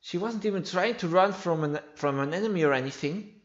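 A man who is not a native English speaker reads video game dialogue aloud in a theatrical, slightly halting way. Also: sits high, lo-fi sound. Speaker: game playthrough creator voicing all characters.

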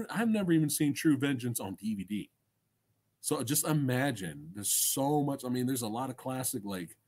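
Animated middle-aged man chatting on a livestream. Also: fairly low pitch, slightly rough. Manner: animated, slangy, enthusiastic, swearing. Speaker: livestream host and film reviewer. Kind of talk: casual livestream chat with viewers. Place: small indoor room crammed with collectibles. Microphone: desk microphone close in front.

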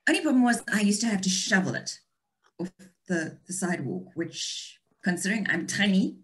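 A woman's voice: mid-range voice, sing-song, normal speed. Wry woman chatting casually. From South Africa and Austria. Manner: wry, playful. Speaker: author, interview guest. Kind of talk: casual chat. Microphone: video call.

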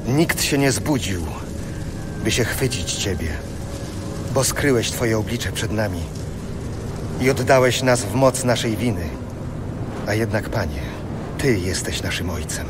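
An actor playing a solemn prophet pleading with God in a dramatized audio Bible. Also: male voice, fairly low pitch, expressive, unhurried, long pauses, background noise. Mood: humble lament. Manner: solemn, beseeching delivery. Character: pleading prophet lamenting his people's sins to God. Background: music.

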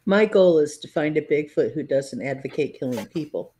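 A woman's voice: low-pitched, lively intonation, moderate pace, dark tone, slightly rough. A middle-aged woman co-hosting a casual livestream, reading viewer comments aloud with wry asides. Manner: reads chat comments aloud, wry and laughing. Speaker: podcast co-host. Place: home room.

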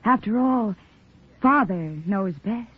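An actress playing a composed, affectionate young daughter in a 1940s radio drama. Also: female voice, medium pitch, animated, slow pace. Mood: soft, affectionate. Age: young adult. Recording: dull, slightly noisy 1940s radio recording.

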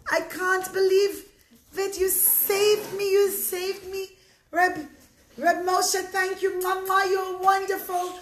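A woman voicing an overjoyed, grateful poor father in a folk tale, gushing with drawn-out exclamations. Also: extremely high pitch, flat delivery, fairly bright tone, voice pushed up, heavily acted. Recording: roomy echo, faint noise.

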